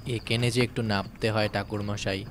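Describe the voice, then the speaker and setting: A man's voice: on the low side, flat delivery, moderate pace, background noise, natural voice. An actor playing a sly, deferential horseman with colloquial speech in an audio drama. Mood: falsely reassuring. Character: deferential rustic Bengali horseman with sly intent.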